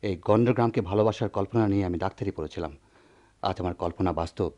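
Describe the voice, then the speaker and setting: An actor playing a proud, wounded village doctor and husband in a declamatory radio drama style. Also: male voice, low-pitched, quick pace, mellow, dull audio, close to an everyday voice. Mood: impassioned pleading. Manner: declamatory, impassioned. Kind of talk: melodramatic radio drama acting.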